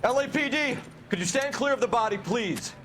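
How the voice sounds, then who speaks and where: An actor playing an earnest, by-the-book young American officer in a detective game. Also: male voice, very high-pitched, expressive, brisk, fairly bright tone, a little hiss or hum. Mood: brisk, official.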